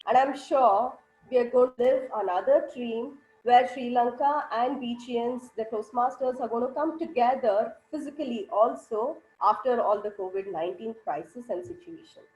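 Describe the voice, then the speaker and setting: A woman, a club president with a hoarse, coughing throat, giving cheerful storytelling feedback at an online meeting. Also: a little high, normal speed, hardly any pauses, a little mellow, echoey room, poor audio.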